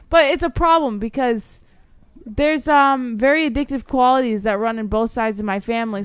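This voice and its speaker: High, clear-voiced woman chatting candidly. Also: dry recording. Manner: blunt, sweary, earnest. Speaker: host of a call-in show. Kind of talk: casual, candid chat.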